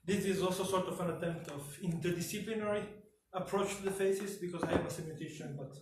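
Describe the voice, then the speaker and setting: A man's voice: high-pitched, fast talker. A man speaking as a researcher giving a conference presentation. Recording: very echoey room, distant mic, noisy.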